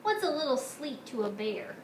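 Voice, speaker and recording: A woman's voice: high voice, sing-song, moderate pace, echoey room, distant mic, background noise. A woman voicing a blustering father bear in a storybook, emphatic and hearty. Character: blustering father bear, trying to keep order.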